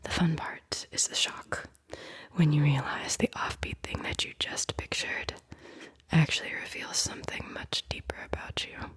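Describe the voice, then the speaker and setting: ASMR host: woman, low breathy whisper, playful and teasing, close mic. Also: steady tone, normal speed, a bit bright. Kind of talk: whispered ASMR guided visualization.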